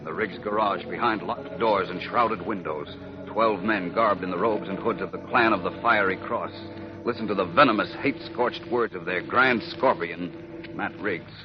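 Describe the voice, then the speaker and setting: A man's voice: low-pitched, normal speed. An American man narrating a 1940s radio serial in a grave, emphatic, suspenseful style. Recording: dull lo-fi 1940s radio recording. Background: loud dramatic music.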